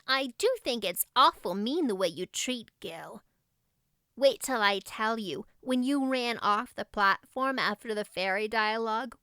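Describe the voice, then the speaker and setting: A chatty, sensible young girl acted by a woman narrator in a storybook. She speaks in a high, clear voice with brisk, matter-of-fact emphasis, like a loyal best friend. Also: pushed and bright, no echo, clean studio sound. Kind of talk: audiobook character voice.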